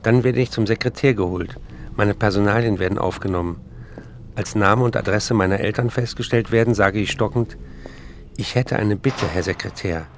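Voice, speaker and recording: An actor playing an anxious prisoner in a radio play, giving an account of himself in a halting, pleading manner. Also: male voice, fairly low pitch, slight background noise, close to an everyday voice. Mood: tense, matter-of-fact.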